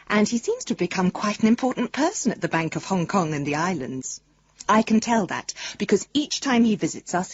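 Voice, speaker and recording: Husky, affectionate, wry grown-up daughter. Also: female voice, lowish voice, no echo, dull audio. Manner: affectionate, gently teasing. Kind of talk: radio drama letter reading.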